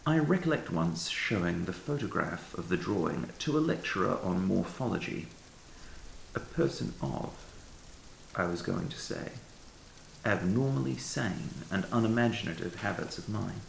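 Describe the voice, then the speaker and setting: A man reading a literary ghost story as a narrator, in a measured, formal storytelling manner. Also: lowish voice, vocal fry. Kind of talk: scripted literary audiobook narration. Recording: echoey room with faint hiss.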